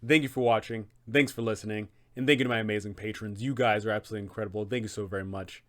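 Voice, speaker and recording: A man's voice: fairly low pitch, steady tone, racing, a bit bright. Emphatic male guide narrator. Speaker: host of a game master's guide series. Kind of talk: scripted, dramatic explanatory narration.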